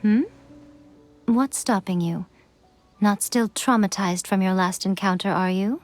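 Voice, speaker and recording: A woman's voice: medium pitch, unhurried, close to an everyday voice. A woman voicing an elegant, teasing fox-spirit woman in a video game, poised, knowing and self-assured. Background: game music.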